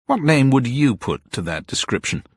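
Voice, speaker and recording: A man's voice: mid-range voice, very animated, normal speed, breathy edge, dry recording, clean studio sound, pitched above the performer's own voice. A man voicing a plump, composed, suspicious man who questions in a pointed, insistent way. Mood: insistent, probing.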